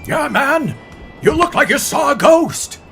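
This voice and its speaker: An alien surfer dude for an audio story, with a very high, gravelly, kooky old-scientist voice. He swoops around in pitch while drawling laid-back slang and stumbling over big words. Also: very animated, a little slow, a bit bright, soft background music, exaggerated voice. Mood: friendly. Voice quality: high, creaky, strained.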